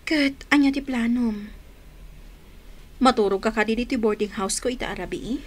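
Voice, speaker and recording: A woman's voice: fairly high pitch, normal speed, natural voice. A woman with a Filipino accent playing a loyal, wistful young office worker in a radio drama.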